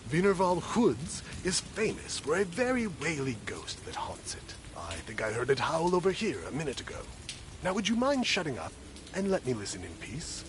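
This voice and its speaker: A man's voice: on the high side, wildly swooping pitch, moderate pace, background noise. A man voicing a fussy, irritable, self-important ghost hunter in a video game, given to exclaiming. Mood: irritated. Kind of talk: video game character dialogue.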